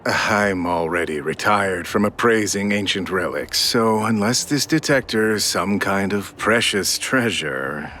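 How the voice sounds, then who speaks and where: Sly elderly appraiser for a game: wheedling salesman, animated, slightly deep, some background noise. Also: unhurried, hardly any pauses. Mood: intrigued. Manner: theatrical, salesman-like. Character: wily old male antiques appraiser, wheedling and haggling. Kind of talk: video game voice acting.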